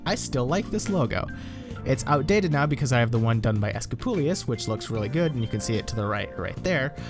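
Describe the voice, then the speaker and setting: A man's voice: mid-range voice, expressive, brisk, music in the background. A young American man, a YouTuber, rambling and self-deprecating as he reacts to his old videos, swearing casually. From United States.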